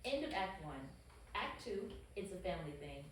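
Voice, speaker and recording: A woman's voice: lowish voice, sing-song, moderate pace. Woman narrator in a very echoey room. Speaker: stage direction reader. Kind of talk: scripted narration at table read. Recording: echoey room, distant microphone, faint noise.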